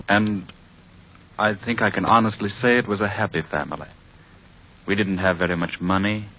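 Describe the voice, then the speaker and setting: Casting: a troubled, sincere married executive, deep measured voice, vintage radio drama, dull muffled sound. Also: male voice, fairly bright tone. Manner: measured, formal, sincere delivery. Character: earnest, troubled married advertising executive testifying about his marriage. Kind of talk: old time radio drama acting. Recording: muffled old radio recording.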